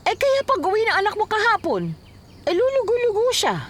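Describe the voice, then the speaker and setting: A woman's voice: extremely high pitch, sing-song, brisk. A woman playing a concerned, probing middle-aged mother, questioning and exclaiming in a Filipino radio drama. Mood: dismayed.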